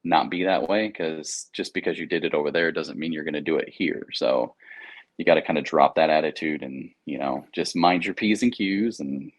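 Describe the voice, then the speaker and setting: A man's voice: rumbling low, normal speed. An American man talking casually in an interview, explaining things in an informal, anecdotal, slangy way. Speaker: air traffic controller, interview guest.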